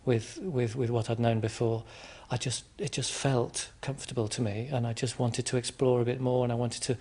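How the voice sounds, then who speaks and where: A man from England answering interview questions in a hesitant, reflective way, with frequent restarts and pauses. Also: medium pitch, fairly even intonation, a little mellow. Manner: hesitant, many restarts and ums. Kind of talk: conversational interview.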